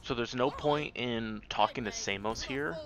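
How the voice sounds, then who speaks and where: A man's voice: medium pitch, moderate pace, crowd noise. A man giving casual live commentary as he speedruns a video game, often muttering asides to himself. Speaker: speedrunner streamer. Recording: loud game music behind voice. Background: loud video game music.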